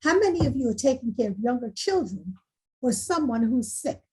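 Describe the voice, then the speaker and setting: A woman's voice: fairly high pitch, very animated, brisk, a little mellow, slightly rough, exaggerated voice, rough recording. A woman playing an earnest, determined school principal making a rousing speech in a screenplay table read. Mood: probing, earnest. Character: earnest, determined female school principal rallying staff and students.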